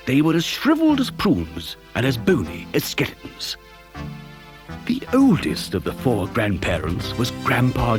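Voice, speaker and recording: An actor playing an exuberant, boastful chocolate maker who tells a children's story theatrically, savouring his words. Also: on the high side. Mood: storytelling. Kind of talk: dramatised children's story narration.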